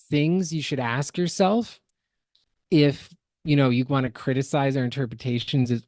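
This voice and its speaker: Male podcast co-host, analytical and opinionated critic, middling pitch, dry studio-like sound with no room echo. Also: darkish tone. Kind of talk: critical reaction commentary.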